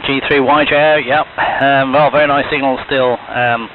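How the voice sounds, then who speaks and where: Halting, throat-clearing ham operator, man, muffled lo-fi shortwave with steady hiss. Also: mid-range voice. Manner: hesitant, full of ums, frequent throat clearing. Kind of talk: casual on-air radio chat. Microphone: radio transceiver mic heard over the air. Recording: noisy, band-limited shortwave radio hiss.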